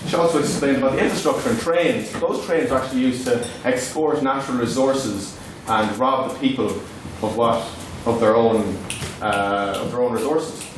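A man's voice: mid-range voice, moderate pace, hardly any pauses. Irish-speaking man declaiming in an echoey hall. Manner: declamatory, rhetorical. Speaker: debate speaker. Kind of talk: formal debate speech. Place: large echoey debating hall. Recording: echoey hall, distant mic, noisy.

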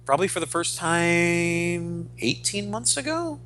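A man in his forties chatting casually and profanely, retelling stories in an animated way as on a podcast. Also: high voice, unhurried, soft background music. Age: 40s. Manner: animated retelling with acted-out quotes. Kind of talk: casual, profane podcast chat about comics.

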